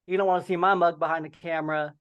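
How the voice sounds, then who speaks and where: A man's voice: on the high side, level tone, very fast, clean tone. Man with a slight lisp, dull call audio. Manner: occasional stutter, many fillers. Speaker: podcast guest. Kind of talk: casual conversation. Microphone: video call.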